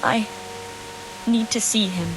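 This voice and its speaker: A woman's voice: mid-range voice, slow, close to an everyday voice, rough recording. An actress playing a devout, caring medieval woman in a video game, earnest and full of concern. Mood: alarmed. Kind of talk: video game dialogue acting. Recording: music clearly behind the voice.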